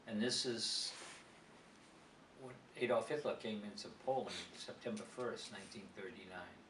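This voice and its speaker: A man's voice: on the low side, normal speed. Rambling older man. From South Boston, USA. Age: 70s. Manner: rambling, digressive, with frequent restarts. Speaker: interviewee, former politician. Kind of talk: reminiscing interview answers. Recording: roomy, distant mic, noisy.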